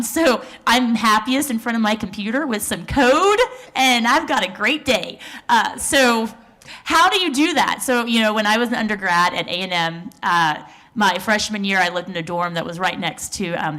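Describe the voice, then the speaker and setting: A woman's voice: medium pitch, a bit bright. A woman in her forties on a conference panel, telling her story in an earnest, reflective way with small fillers. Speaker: researcher on a panel. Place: conference stage.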